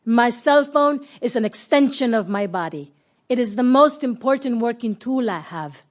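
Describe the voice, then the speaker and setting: A woman's voice: fairly high pitch, animated, moderate pace, natural voice. A woman playing a committed, proud Argentine anti-corruption official in an earnest documentary stage monologue. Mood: brisk, matter-of-fact. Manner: earnest, declarative.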